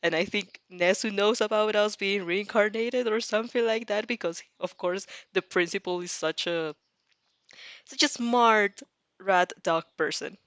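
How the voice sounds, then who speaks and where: A woman's voice: mid-range voice, unhurried, fairly bright tone, no echo. A woman hosting a fan fiction reaction, reading aloud expressively and breaking into casual, rambling, giggly asides. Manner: rambling, giggly, often interrupts herself with asides.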